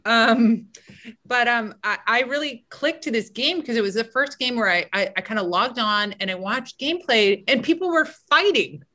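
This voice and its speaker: A woman's voice: a little high, lively intonation, moderate pace, bright, forceful tone. A woman screenwriter with a big voice, chatting casually as a podcast guest, self-deprecating and full of restarts.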